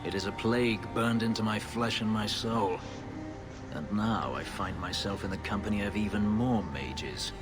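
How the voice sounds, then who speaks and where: An actor playing a brooding, bitter and proud escaped elf slave in a video game, speaking gravely and formally. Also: male voice, on the low side, normal speed, close to an everyday voice. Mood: bitter, weary. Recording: music behind the voice.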